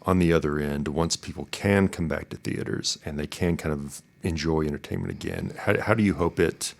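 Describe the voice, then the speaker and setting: Deep-voiced man hosting an interview. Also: moderate pace, creaky. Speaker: podcast host, interviewer. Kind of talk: casual interview questioning.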